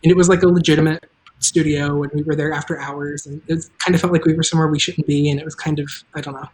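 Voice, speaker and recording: The show's creator hosts a live stream in a mid-pitched voice. The talk is casual and unscripted, moving in halting phrases with offhand asides. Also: flat delivery, darkish tone, low-quality recording. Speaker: show creator and table read host. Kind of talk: casual, unscripted talk about making a show.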